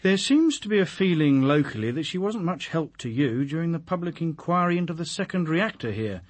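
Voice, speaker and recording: An actor playing a dogged chief inspector in a radio drama, polite but probing. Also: male voice, on the high side, sing-song, fairly quick. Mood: pressing. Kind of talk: British radio drama acting.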